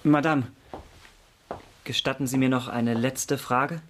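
An actor playing a courteous, persistent investigator in a period radio crime drama, asking clipped, formal questions. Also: male voice, mid-range voice, fairly even intonation, slow pace, close to an everyday voice. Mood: courteous, insistent. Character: formal, persistent male investigator in 17th-century Paris. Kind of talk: German radio drama acting.

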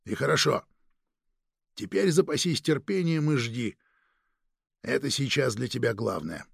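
A man's voice: medium pitch, animated, unhurried, vocal fry, slightly rough, dry recording, studio quality, exaggerated voice. A man voicing a domineering, suspicious police detective chief who interrogates and gives orders in a dramatic audiobook. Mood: firm, cold. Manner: commanding, interrogating, barking orders.

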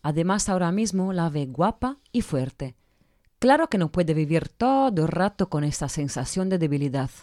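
A woman's voice: fairly low pitch, sing-song, normal speed, a little mellow, no room sound, clean studio sound. A woman playing a blunt, sardonic commentator in an audio drama, speaking emphatically and critically.

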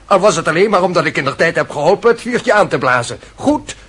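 A man's voice: on the high side, animated, quick pace, a bit bright, breathy edge. An actor playing a jaunty, mocking swordsman in a costume radio drama, breezy, bantering and bossy. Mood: excited.

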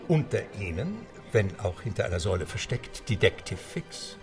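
Low gravelly guy narrating a radio drama, music playing under him. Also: very animated, moderate pace. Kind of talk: scripted radio play narration.

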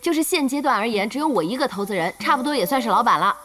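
A young woman acting in a Mandarin audio drama as a blunt, quick-tempered team boss with a brisk manner. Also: on the high side, expressive, fairly bright tone. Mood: businesslike.

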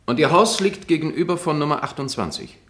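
An actor playing a formal prosecutor who questions in a clipped, methodical way in a German radio drama. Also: male voice, a little high, expressive, brisk, fairly bright tone. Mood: businesslike.

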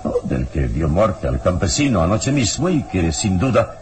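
A calm, mysterious hero from an old radio drama. His very low voice moves through wide, dramatic swings as he speaks with deliberate solemnity. The recording is old and clearly noisy. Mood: grave. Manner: deliberate, solemn, suspenseful delivery. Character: calm, wise, mysterious male hero investigator. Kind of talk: old radio serial acting.

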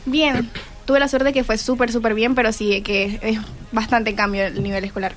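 A teenage girl with a Venezuelan accent, answering questions on the radio in brief, soft-spoken replies. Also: on the high side, little pitch movement, moderate pace. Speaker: student guest.

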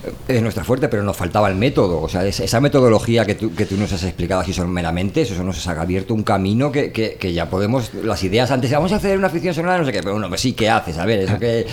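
A man's voice: on the low side, animated, quick pace, a little mellow. A man from in casual chat, repeating words as he searches for the next one. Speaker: radio show regular, course pupil. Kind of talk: casual round-table chat. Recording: clear background noise, room ambience.

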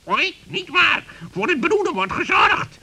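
A brave, stubborn mother duck in a children's radio play , put on by a man. The voice is extremely high and shrilly sharp, full of squawks and quacks. It swoops about defiantly, in drawn-out words. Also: big pitch swings, gravelly, exaggerated voice. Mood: defiant, frantic.

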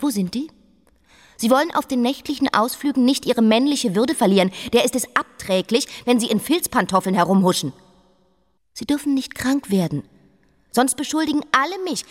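An actress playing an imperious, restless young queen in a radio play, speaking with commanding intensity. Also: on the high side. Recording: long reverberant hall.

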